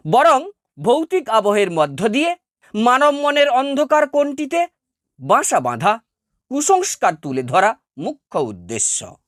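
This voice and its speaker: A woman's voice: mid-range voice, dry recording, clean studio sound. A woman teacher reading a scripted lesson for school students in a formal, emphatic, carefully phrased way. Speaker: teacher presenting exam answers to twelfth-grade students. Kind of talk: scripted educational narration, read-out essay.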